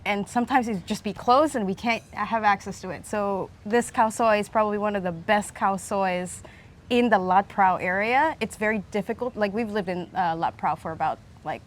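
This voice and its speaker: A woman in her thirties from the Philippines, answering a host's questions softly at an open-air food stall, on a lapel mic. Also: medium pitch, normal speed. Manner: soft-spoken, trails off quietly at the ends of sentences. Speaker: guest, video maker. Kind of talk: casual interview answers.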